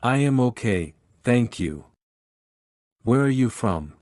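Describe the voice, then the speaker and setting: A man reading one side of a scripted English lesson dialogue, speaking in simple sentences with deliberate, even spacing. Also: medium pitch, no echo, clean studio sound. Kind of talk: slow scripted practice dialogue.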